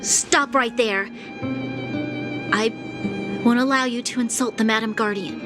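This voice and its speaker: A woman's voice: on the high side, a little slow, lightly acted. A woman playing a proud, loyal young guard officer in a game cutscene, firm and formal. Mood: indignant. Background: background music.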